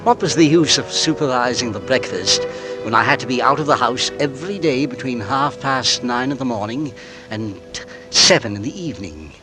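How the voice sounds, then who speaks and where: An actor playing an anxious, suspicious married gentleman in 1940s radio suspense style, formal and deliberate, with hesitant phrasing. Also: medium pitch, expressive, lightly acted, low-quality recording. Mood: brooding, uneasy. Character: anxious, suspicious married gentleman narrating his own torment. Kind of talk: 1940s radio suspense acting and first-person narration. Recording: old radio recording with dramatic music behind.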